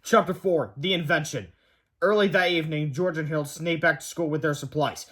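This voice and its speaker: High-voiced man reading a children's book. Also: fairly even intonation, a little fast, a bit bright. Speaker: read-aloud storyteller. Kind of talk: scripted children's book narration.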